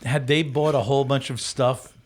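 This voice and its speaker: A man's voice: medium pitch, steady tone, normal speed, slight background noise. A man co-hosting a comedy podcast who banters and cracks jokes. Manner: joking, teasing banter. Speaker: podcast co-host.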